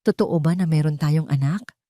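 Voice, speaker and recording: A woman's voice: sits low, moderate pace, dark tone, dry recording, studio quality, close to an everyday voice. A woman voicing a wounded, guilt-ridden rich son in an audio love drama, anguished and pleading. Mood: doubtful.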